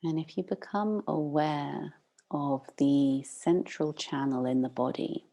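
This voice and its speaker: Female meditation guide, deep relaxed tone with creaky edges, unhurried and gently instructive. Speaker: meditation teacher.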